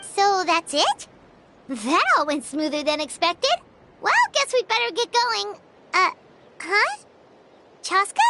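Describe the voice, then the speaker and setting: A woman voicing a tiny, excitable childlike fairy companion in a video game, squeaky and exclamatory. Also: takes it slowly, long pauses, noisy background, heavily acted. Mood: chirpy. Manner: exclamatory, refers to self in third person. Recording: music behind the voice.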